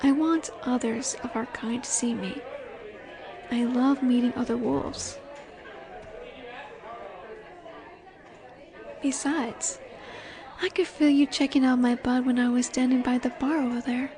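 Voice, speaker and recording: A woman's voice: sits high, fairly even intonation. A woman playing a confident, flirtatious werewolf alpha in a roleplay audio drama, teasing and seductive in her delivery. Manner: teasing, seductive, slow and assured. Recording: bar chatter behind the voice. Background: bar ambience with crowd murmur.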